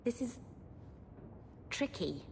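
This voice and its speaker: An actress voicing a shy, awkward young elven mage in a video game, earnest and hesitant. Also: female voice, slow pace, a little mellow, a little hiss or hum, lightly acted. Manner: hesitant, self-deprecating. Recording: long hall reverb, music behind. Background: game music.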